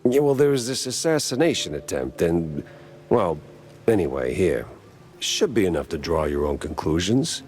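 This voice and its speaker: A man's voice: deep voice, sing-song, moderate pace, a little mellow, slight background noise. A man playing a world-weary, wisecracking cartoon mouse private detective with a dry, sardonic delivery in a hardboiled noir game.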